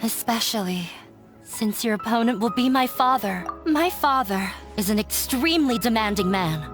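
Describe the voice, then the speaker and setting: An actress voicing a troubled young runaway daughter in a video game, earnest and confessional, full of hurt and resentment. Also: female voice, on the high side, expressive, moderate pace, lightly acted. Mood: bitter, resigned. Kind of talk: dramatic video game voice acting. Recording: studio voice over background music.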